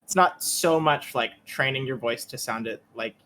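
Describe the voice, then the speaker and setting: A man's voice: medium pitch, moderate pace, bright, forceful tone. A man speaking casually and a little hesitantly as a voice actor answering questions on a streamed panel. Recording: faint background noise on a stream.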